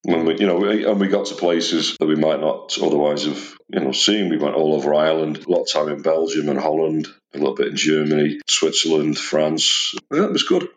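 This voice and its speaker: A man in his forties with a deep, slightly gravelly voice, answering interview questions in a modest, reflective way. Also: brisk, few pauses, reverberant, a little hiss or hum. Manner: modest, reflective, with many uh and restarts. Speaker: blues musician guest.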